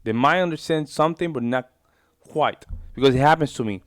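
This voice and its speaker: A man's voice: on the high side, a little fast, no room sound, studio quality. A man hosting a reaction video, rambling casually and repeating himself as he reacts. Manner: chatty, rambling, repeats himself, informal "bro".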